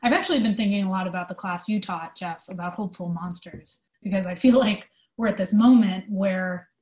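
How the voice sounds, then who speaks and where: A woman in her twenties speaks as an interview guest at a medium pitch. She is earnest and reflective, talking through her thoughts in a discursive, conversational way. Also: brisk, darkish tone. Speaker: theater company manager, interview guest.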